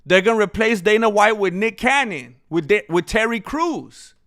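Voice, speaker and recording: A man in casual live-stream chat with a high, smooth voice and a dry, close sound. He jokes loosely and slips into snatches of song. His voice is wearing thin from too much talking. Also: lively intonation, normal speed, a bit bright, no echo. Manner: jokey, breaks into off-key singing and ad-jingle bits. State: losing his voice.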